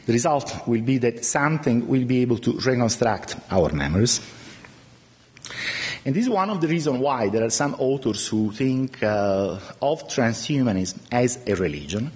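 A middle-aged man whose first language is Italian gives a conference talk from behind a podium, his delivery halting and filled with pauses. His voice is slightly husky and sits at an ordinary pitch for a man. The sound carries the long reverb of a large lecture hall. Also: expressive, a little mellow. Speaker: conference speaker.

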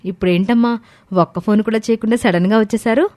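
A woman's voice: a little high, fast talker, warm tone, close to an everyday voice. A woman playing a caring young married daughter, solicitous toward her parents, in a family story. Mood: surprised.

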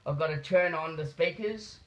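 A man giving a step-by-step practical demonstration in a deliberate, halting way, repeating his phrases, picked up by a phone camera in a small room. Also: on the high side, fairly bright tone. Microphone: phone camera held nearby. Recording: muffled phone video sound.

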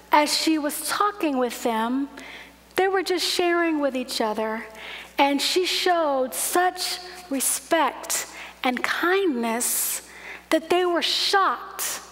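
A woman in her forties preaching a sermon at a lectern in a large church, telling stories in a gentle, measured way. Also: high-pitched, expressive. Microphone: lectern microphone. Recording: long hall reverb.

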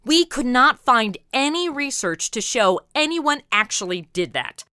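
American female podcast host, perky and slangy, very lively intonation, dry close sound. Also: high-pitched, unhurried, smooth, dry recording. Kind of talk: scripted, playful podcast interview.

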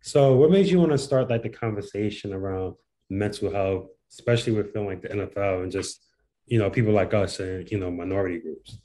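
A man's voice: fairly low pitch, brisk, warm tone. A man hosting a casual video-call interview, asking friendly, conversational questions. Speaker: interview host.

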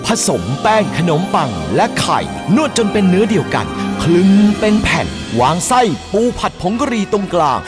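A woman's voice: mid-range voice, very animated, moderate pace, hardly any pauses. A woman reading scripted narration as the announcer of a cooking show. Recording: music and crowd noise behind.

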